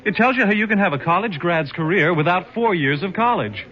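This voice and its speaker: An actor playing an eager, earnest young man pitching career training in a radio public service ad. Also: lively intonation, a little fast, very noisy. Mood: earnest, upbeat. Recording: muffled 1970s radio broadcast.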